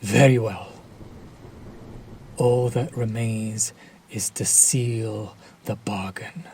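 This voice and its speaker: A man's voice: mid-range voice, warm tone, slight background noise. A man playing a sadistic, possessive, centuries-old vampire lord in an intimate audio roleplay, taunting the listener with silky, formal, theatrical menace. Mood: commanding, smug.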